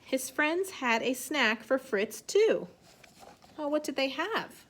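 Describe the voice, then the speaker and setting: A woman's voice: sits high, very animated, slow pace. A woman speaking as an elementary teacher to young children in a read-aloud lesson, instructing in simple phrases and asking questions.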